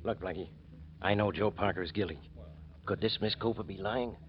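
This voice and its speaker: An actor playing a blustering, self-important police inspector in 1940s radio style, bossy in manner. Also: male voice, low voice, a little fast, natural voice. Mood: low, exasperated. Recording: muffled, noisy old radio recording.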